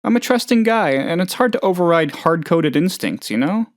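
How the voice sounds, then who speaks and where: A man's voice: high voice, lively intonation, moderate pace, dry recording, clean studio sound. A man playing a hapless, trusting, lonely oddball in a video game, talking in a rambling, hesitant, good-natured way. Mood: confessional, subdued. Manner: rambling, hesitant, naive, chatty. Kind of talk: video game character dialogue.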